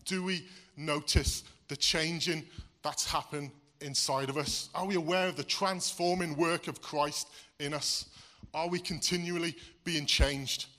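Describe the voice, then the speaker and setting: A man delivers a sermon in a Midlands English accent touched by a Welsh lilt. His voice sits fairly high and sounds bright and clear. He talks to the congregation in a conversational way, chuckling and often saying "you see". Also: fairly even intonation, unhurried. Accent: English Midlands with a slight Welsh lilt. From England, raised in Wales. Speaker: preacher.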